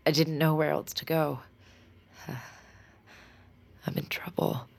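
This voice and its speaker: A bewildered young woman on the run, low-pitched with plenty of creak. She is anxious and halting, in natural audio-drama style. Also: fairly even intonation, darkish tone, heavily acted. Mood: shaken, wary. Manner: hesitant, halting, emotional. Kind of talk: naturalistic audio fiction acting.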